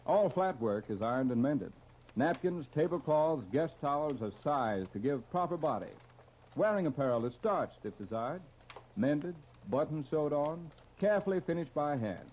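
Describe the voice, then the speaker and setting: A man's voice: fairly high pitch, expressive, normal speed, a little mellow. A man with an American accent reading polished, emphatic scripted copy as a 1930s radio announcer. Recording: dull, noisy 1939 radio transcription disc.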